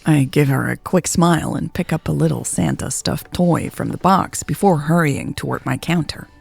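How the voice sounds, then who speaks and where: A woman narrates a romance in the first person. Her voice is low and gravelly with a husky grain, set over faint music. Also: normal speed, darkish tone. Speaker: storyteller reading a romance story.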